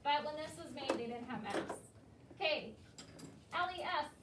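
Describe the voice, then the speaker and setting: Woman primary teacher, high voice, question-and-answer delivery with pupils, caught from far off in a roomy space. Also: expressive, moderate pace. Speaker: elementary school teacher. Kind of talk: classroom discussion with young pupils. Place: indoor school classroom. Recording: roomy, distant mic, noisy classroom.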